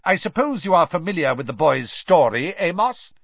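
A man's voice: a little high, sing-song, moderate pace, no echo, lo-fi sound, pitched above the performer's own voice. A man voicing a cold, stern senior official who speaks in a clipped, icy, barking way. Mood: cold anger.

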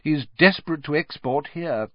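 A man's voice: on the high side, normal speed, dry recording, lo-fi sound, voice pushed up, close to an everyday voice. A man voicing a stiff, elderly official in an audiobook, dry, formal and impatient.

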